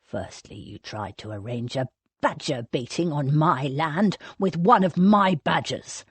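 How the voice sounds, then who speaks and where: A woman's voice: sits low, animated, measured pace, husky, no room sound, voice pushed down, exaggerated voice. A woman voicing an angry, commanding male lord with a clipped, menacing, snarling delivery in an audiobook. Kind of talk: audiobook character dialogue.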